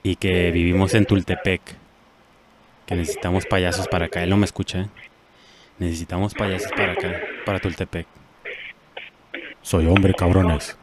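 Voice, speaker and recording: A man's voice: sits low, level tone, normal speed, long pauses, background noise. A man in his thirties with a Mexican accent, chatting casually as host of a prank call show. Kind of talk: casual chat. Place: small indoor room set up as a streaming studio. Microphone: handheld microphone with headset headphones.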